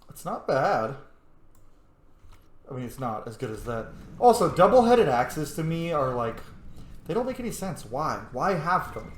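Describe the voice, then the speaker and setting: A man's voice: a little high, very animated, a little slow, long pauses. Casual man commentating in an echoey room. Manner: opinionated, informal reactions. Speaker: gamer narrating a playthrough. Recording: echoey room, faint game noise behind.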